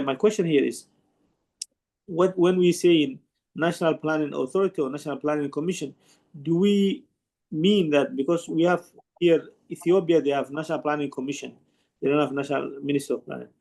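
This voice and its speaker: A man, a participant in an online panel, who explains at length in an earnest, halting way with many restarts. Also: fairly high pitch, gravelly, darkish tone. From Somalia. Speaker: conference participant asking a question.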